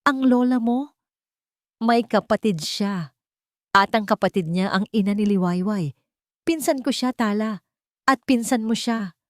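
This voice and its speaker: Woman voicing a sorrowful, halting mother. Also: medium pitch, no echo, clean studio sound, pitched above the performer's own voice, natural voice. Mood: grieving confession. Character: sorrowful, guilt-ridden mother confessing a family secret.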